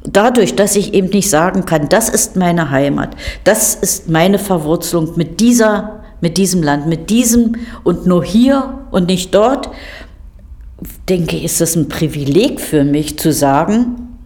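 A woman's voice: fairly low pitch, expressive, a little slow, a little mellow. A woman speaking thoughtfully and articulately in an interview.

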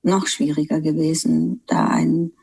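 A woman's voice: deep voice, expressive, moderate pace, vocal fry, mellow, a little hiss or hum. A woman film director answering interview questions in a thoughtful, searching way, with some fillers as she goes. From Germany.

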